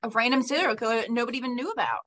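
A woman co-hosting a podcast in casual chat, blunt and joking with quick asides. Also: on the high side, over a noisy crowd. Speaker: podcast co-host. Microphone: headphones and home mic.

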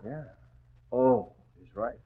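Male, cool sardonic masked vigilante, 1940s radio serial, husky and clipped, phone-thin vintage sound. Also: mid-range voice, takes it slowly. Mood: taunting. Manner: clipped, taunting commands. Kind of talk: 1940s radio drama acting. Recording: muffled tinny vintage radio recording.

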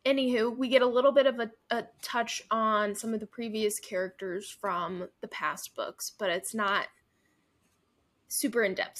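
A woman's voice: medium pitch, a bit bright. A young woman co-hosting a book podcast, recapping and reviewing in a casual, chatty way with lots of fillers. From Midwestern United States. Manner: chatty, frequent fillers and laughs. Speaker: podcast co-host.

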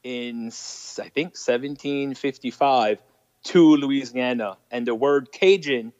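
A man's voice: medium pitch, slow pace, darkish tone, dry recording, muffled recording. Chatty man with Acadian French accent. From Nova Scotia, Canada. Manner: chatty, laughs at his own jokes. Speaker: interview guest. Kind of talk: casual storytelling interview.

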